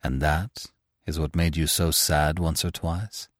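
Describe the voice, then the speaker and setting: A man reading the part of a stern, reserved male author who speaks curtly and in measured phrases. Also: extremely low pitch, level tone, no room sound, studio quality. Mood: tender. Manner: curt, measured.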